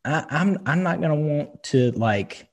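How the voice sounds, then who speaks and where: A man in his thirties speaks with a husky, slightly breathy voice. He talks in a casual, emphatic way, swearing now and then as he gives advice. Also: fairly high pitch, expressive, unhurried. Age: 30s. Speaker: podcast co-host. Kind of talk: casual chat.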